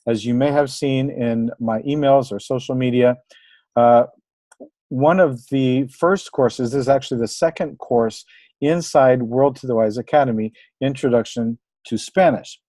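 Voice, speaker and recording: A man's voice: mid-range voice, measured pace, dark tone, no echo. A middle-aged man, a language teacher, giving an encouraging, conversational webinar presentation with the occasional filler. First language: English.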